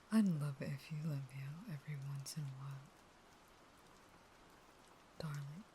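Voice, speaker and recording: Casting: actress as a clingy, unstable female vampire in a cage, low and slow, whispered pleading, intimate roleplay audio. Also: dark tone, a little hiss or hum, rough recording. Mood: sorrowful begging. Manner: breathy, whispery, pleading, dramatic.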